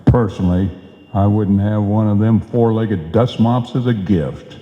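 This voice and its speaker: A man's voice: on the low side, measured pace, close to an everyday voice. A man playing a blustering, folksy husband and tall-tale-telling bumbler in an old-time radio comedy, with slangy, exclamatory talk. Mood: boastful. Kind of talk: old-time radio comedy acting before a live audience. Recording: dull, echoey live hall recording.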